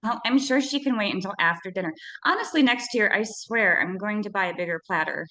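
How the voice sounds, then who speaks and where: Woman playing a lively, brisk radio-drama mom. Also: medium pitch. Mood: busy, dismissive. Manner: brushing off, matter-of-fact. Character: busy, brisk, dismissive housewife mother. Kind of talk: old-time radio suspense acting. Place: live stage radio-play performance.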